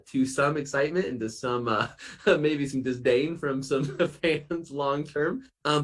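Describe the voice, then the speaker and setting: A man's voice: medium pitch, fairly bright tone. A man from the United States speaking as an interview guest in a casual, upbeat, chatty and informal way. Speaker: podcast guest, author.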